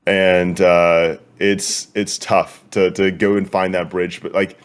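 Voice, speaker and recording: A man's voice: deep voice, little pitch movement, takes it slowly, clean tone. A young man talking casually as a guest, easygoing and chatty. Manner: easygoing, chatty with light laughs. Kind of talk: casual chat.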